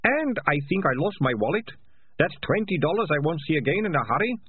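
Man putting on a whiny grumbling rat catcher, high strained voice, phone-like. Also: sing-song, fairly quick, husky. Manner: complaining, sarcastic.

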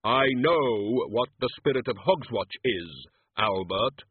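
A man's voice: on the low side, expressive, slow, husky, no echo, heavily acted. A man voicing Death, a terse, commanding male spirit. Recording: tinny lo-fi audio.

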